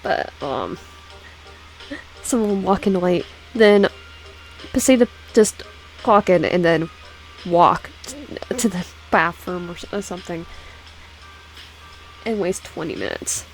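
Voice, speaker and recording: A woman's voice: fairly low pitch, animated, slow, long pauses, low-quality recording. A woman casually reading a visual novel game aloud with personal asides, often stumbling over words and repeating phrases. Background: background music.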